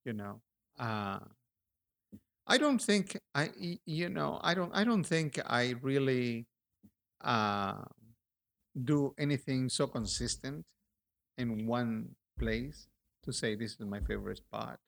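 Man, Peruvian accent, middle-aged, gravelly, halting and reflective, interview guest. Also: medium pitch, animated, a bit bright. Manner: reflective, halting, with many restarts. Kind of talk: relaxed interview conversation.

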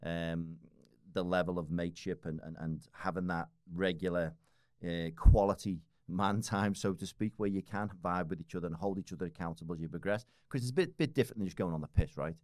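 Liverpool man, forties, podcast guest; very low, quick, rambling with restarts, dry close sound. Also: a little mellow, no room sound. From Liverpool, England. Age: 40s. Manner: rambling, many restarts and fillers, swears casually. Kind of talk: casual podcast conversation.

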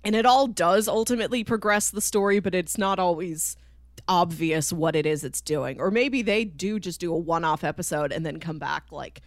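A woman's voice: on the low side, moderate pace, dry recording, clean studio sound. A woman hosting a podcast, talking casually and explaining with enthusiasm about a series she enjoys. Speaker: podcast host.

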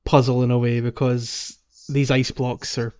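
A man talks casually as a podcast co-host. His voice sits at an average pitch, and he hesitates as he searches for words. The recording is a little dull and lo-fi. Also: flat delivery, a little slow, a little mellow, clean tone. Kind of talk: casual video game discussion.

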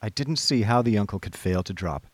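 An actor playing an idle, chummy young upper-class gentleman, narrating a radio drama in breezy, wordy old-fashioned slang. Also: lowish voice, fairly quick, no echo, natural voice. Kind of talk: scripted first-person radio drama narration. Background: music.

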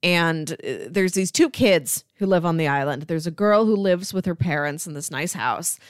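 A woman's voice: fairly low pitch, normal speed, no echo, studio quality. A woman co-hosting a podcast, casually retelling a film's story in a conversational way. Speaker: podcast co-host.

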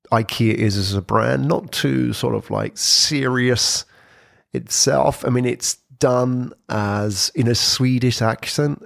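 A man giving an informal explainer talk on podcasting, lively and conversational, with frequent "sort of" hedges. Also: mid-range voice, vocal fry, dry recording, studio quality. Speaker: presenter on brand podcasting.

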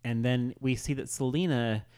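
A man's voice: mid-range voice, fairly even intonation, moderate pace, darkish tone, smooth. Casual, conversational male podcast host. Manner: conversational, peppered with "like". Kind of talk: casual comedic chat recapping a music video.

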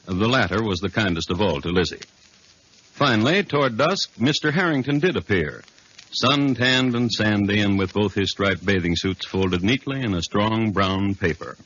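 A man playing a wry true-crime chronicler, narrating a 1950s radio drama with dry, deliberate humour and a husky voice. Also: on the low side, animated, fairly bright tone, slight background noise. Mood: dryly amused. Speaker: narrator and host. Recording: dull lo-fi 1950s radio recording.

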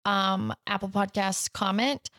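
A chatty woman co-hosting a book podcast. She talks in a loose, conversational way at a middling pitch, recorded close and dry with no room sound. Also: fairly even intonation, a bit bright, dry recording. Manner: chatty, quick to laugh along. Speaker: podcast co-host. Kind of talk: casual chat.

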